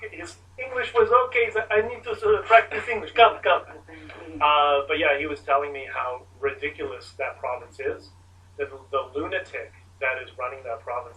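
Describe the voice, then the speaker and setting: Man at a press conference: bright, emphatic, caught off-mic. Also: medium pitch, far from the mic, low-quality recording. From Canada. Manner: emphatic, animated storytelling. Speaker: convoy organizer answering press questions.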